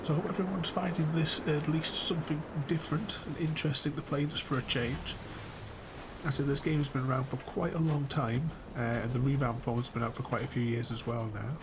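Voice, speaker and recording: A male streamer chats casually through a game playthrough. His mid-pitched voice has a gravelly, creaky edge, and there is clear ambient noise behind him. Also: fairly even intonation, brisk. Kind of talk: casual livestream playthrough commentary. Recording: clear background noise under voice.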